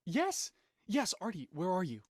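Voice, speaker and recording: A woman acts as a frantic man in an audio thriller, pleading and insistent. The delivery is urgent, with lively swooping pitch and a close, dry sound. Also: a little slow, dry recording, full character voice. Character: John, frantic, pleading man searching for a friend.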